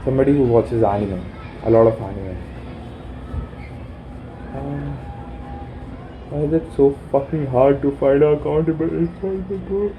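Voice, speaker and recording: A young man with a deep voice rambling through his plans aloud, halting and swearing casually, recorded on his phone while sitting in a room corner. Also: highs cut off, a little hiss or hum. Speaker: vlogger. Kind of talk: rambling self-talk planning.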